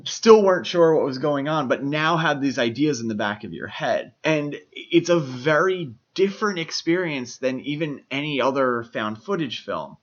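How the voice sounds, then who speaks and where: A man's voice: mid-range voice, animated, normal speed, hardly any pauses. Man co-hosting a podcast, muffled audio. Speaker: podcast co-host. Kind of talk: casual film discussion.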